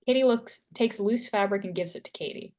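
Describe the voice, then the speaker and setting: A woman's voice: mid-range voice, brisk, darkish tone. A woman with a mid-range voice reads stage directions aloud. Her delivery is scripted narration, setting scenes at a screenplay table read.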